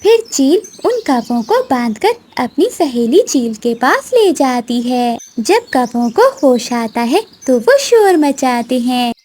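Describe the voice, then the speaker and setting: A woman storyteller with a high, animated voice. She reads scripted children's narration at a slow, measured pace.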